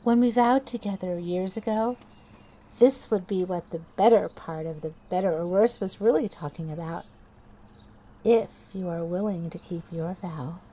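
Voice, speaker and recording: A woman's voice: on the low side, normal speed, dark tone, close to an everyday voice. A woman playing the ghost of a loving dead wife in a horror audio drama, tender, solemn and eerily persuasive. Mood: coaxing.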